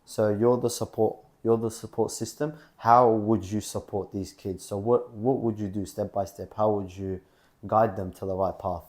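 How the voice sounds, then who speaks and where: A man's voice: lowish voice, fairly even intonation, moderate pace, a little mellow. A man interviewing a guest, asking questions hesitantly and restarting them as he goes. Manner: hesitant, repeats his question openings.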